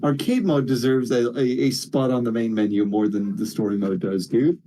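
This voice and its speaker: A man chatting casually about video games in a halting, slangy way, swearing freely. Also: medium pitch, mellow.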